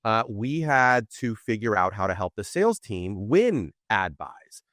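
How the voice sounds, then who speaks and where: Middle-aged guy, low voice, dry close mic, lively storyteller. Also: a little slow, dry recording. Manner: animated storyteller, acts out quoted voices. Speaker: podcast guest. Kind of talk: casual interview storytelling.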